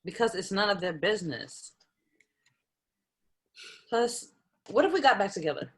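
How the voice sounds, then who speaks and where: A woman's voice: medium pitch, big pitch swings, unhurried. A woman playing a blunt, guarded professional in a screenplay table read, talking casually and frankly. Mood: indignant.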